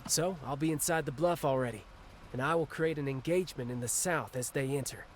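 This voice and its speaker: An actor playing a composed military strategist in a game, speaking in a precise, matter-of-fact manner. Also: male voice, a little high, a little fast, slight background noise, natural voice. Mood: composed, serious. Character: composed, methodical male military strategist ally. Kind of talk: video game dramatic dialogue.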